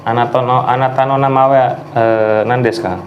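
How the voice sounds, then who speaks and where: A man's voice: fairly even intonation, moderate pace, dull audio. A man, hosting and asking questions in a casual way. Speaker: host, spiritual practitioner questioning a channeled guest. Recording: noisy recording with vehicle rumble.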